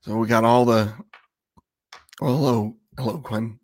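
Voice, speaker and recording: A man with a slightly raspy voice hosting a casual livestream, rambling conversationally and asking viewers questions. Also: medium pitch, fairly even intonation, measured pace, darkish tone. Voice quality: a little raspy. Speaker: livestream host.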